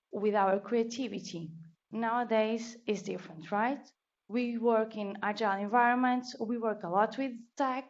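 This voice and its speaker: Slow-talking Portuguese-accented woman presenting. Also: on the high side. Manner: careful, deliberate, with restarts. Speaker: meetup speaker. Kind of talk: conference talk. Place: meetup venue. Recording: dull lo-fi recording.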